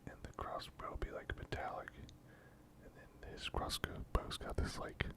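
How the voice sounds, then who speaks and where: A man speaking in a breathy, unvoiced whisper, giving casual, unhurried ASMR commentary as he works and thinks aloud in halting phrases. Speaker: host of a miniature painting channel.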